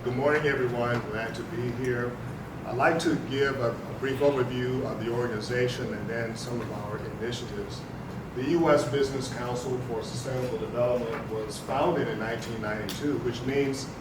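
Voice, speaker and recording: A man's voice: mid-range voice, fairly even intonation. Businesslike man in an echoey hall. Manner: measured, businesslike, few fillers. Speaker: executive director on a panel. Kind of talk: prepared panel overview. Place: large conference room. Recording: echoey hall, mic at a distance, noisy.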